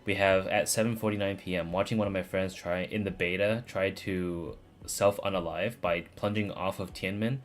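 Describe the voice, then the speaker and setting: A man's voice: deep voice, fairly even intonation, moderate pace, hardly any pauses. A man chatting casually on a game stream in a slangy, rambling, profane way. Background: faint game music.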